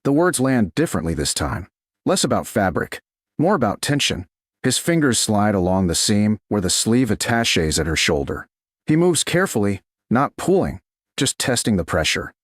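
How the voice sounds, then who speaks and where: Low-pitched male narrator with very lively intonation. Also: moderate pace, breathy edge, dry recording, clean studio sound. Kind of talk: scripted audiobook narration of a romance.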